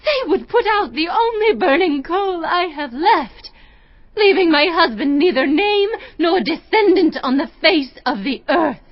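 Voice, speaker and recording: A woman's voice: very high voice, expressive, unhurried, dry recording, lo-fi sound, heavily acted. An actress playing an anguished, humble widow pleading formally with a king in a dramatized audio Bible. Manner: formal, beseeching, emotional.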